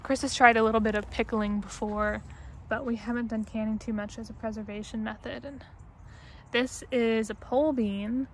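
Higher-voiced young American woman, outdoor noise. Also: fairly even intonation, normal speed. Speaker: vlogger giving a garden tour. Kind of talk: casual unscripted vlog narration. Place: outdoors in a backyard garden. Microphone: handheld phone or camera at arm's length.

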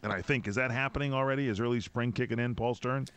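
A man's voice: mid-range voice, steady tone, quick pace, a bit bright, clear, resonant tone. A man in his forties hosting a talk radio show from a small studio, asking long, effusive questions. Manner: enthusiastic, effusive, rambling questions. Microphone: studio mic with headphones.

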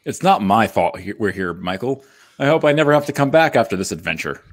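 A man's voice: fairly low pitch, animated, a little fast. A man playing an earnest, roguish young space smuggler in a comedic live table read. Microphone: home video call.

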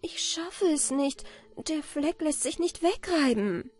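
Woman acting a high-voiced, emotional storybook heroine. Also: measured pace, warm tone, faint music behind. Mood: tired. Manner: heartfelt, exclaiming. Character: warm-hearted, emotional young storybook heroine.

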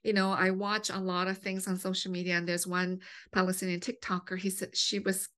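A woman's voice: lowish voice, level tone, brisk, a bit bright. A middle-aged woman hosting a podcast interview over a video call, engaged and thoughtful as she asks questions. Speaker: podcast host. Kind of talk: interview conversation. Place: home office with bookshelves.

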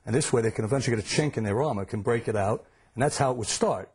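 A man's voice: medium pitch, rapid-fire, darkish tone, husky. A middle-aged man giving investigative commentary as a guest in a live television news studio.

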